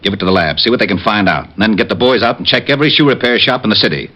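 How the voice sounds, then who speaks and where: An actor playing a harried, exasperated police lieutenant in a vintage radio crime drama, with curt, weary cop talk. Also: male voice, lowish voice, animated, racing, pushed and bright. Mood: puzzled, brisk. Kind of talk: 1940s-50s radio crime drama acting. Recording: muffled, hissy old radio recording.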